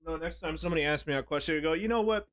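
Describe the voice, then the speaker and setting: A man's voice: a little high, racing, a bit bright, dry recording, clean studio sound. A man streaming a game, chatting casually with his chat, rambling and joking with lots of swearing. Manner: rambling, profane, jokey storytelling.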